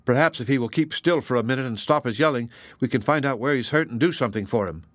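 Guy reading as a practical young sailor, teasing, plain spoken, mid pitch, dry storybook recording. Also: quick pace, a bit bright, no echo, lightly acted. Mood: calm, practical.

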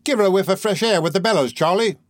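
A cocky young pickpocket, put on by a man in a storybook. His voice sits high, brisk and commanding, in a dry recording. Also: no echo, lightly acted. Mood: brisk, bossy.

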